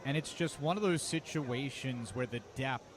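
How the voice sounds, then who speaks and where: A man doing live radio play-by-play of a baseball game as the announcer, talking conversationally between pitches and lifting his voice for action. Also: moderate pace. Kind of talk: live radio sports commentary. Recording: live ballpark broadcast with loud background noise. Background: outdoor ballpark ambience.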